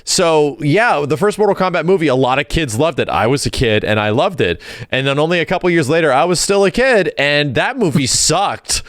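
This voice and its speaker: A man's voice: a little high, expressive, a little fast, fairly bright tone, no room sound, studio quality. A man hosting a podcast, giving casual, opinionated film talk in an emphatic, sweary way. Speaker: podcast host.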